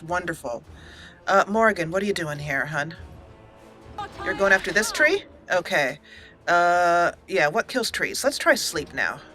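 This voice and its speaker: Bright-voiced woman gaming host. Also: lowish voice. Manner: chatty, animated thinking aloud. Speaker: let's play host. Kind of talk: casual gameplay commentary.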